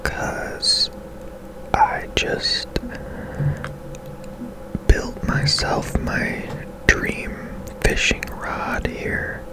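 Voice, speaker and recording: A video game host speaks entirely in a soft, breathy whisper. The delivery is slow and gentle, with unhurried pauses, in the style of ASMR gameplay commentary. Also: rough recording.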